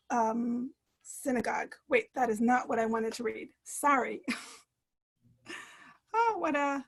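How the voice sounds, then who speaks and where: A woman author talking to a book club over a video call, hesitant with many fillers, and reading a passage aloud. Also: high-pitched. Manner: halting, many fillers and restarts. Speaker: author guest.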